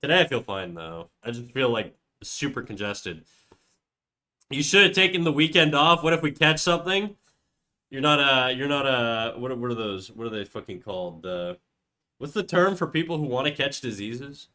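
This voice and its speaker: A man's voice: mid-range voice, lively intonation, normal speed, pushed and bright. A young man with a cold, sounding stuffy and nasal, chatting casually and swearing on a livestream. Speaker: streamer. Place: home room.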